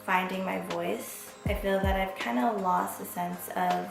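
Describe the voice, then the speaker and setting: Woman, twenties, from Las Vegas. Vlog host, frank and reflective, gravelly fry. Echoey room. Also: mid-range voice, steady tone, unhurried. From Las Vegas, USA. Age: 20s. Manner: candid and reflective, casual swearing. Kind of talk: casual vlog monologue. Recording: echoey room with background music.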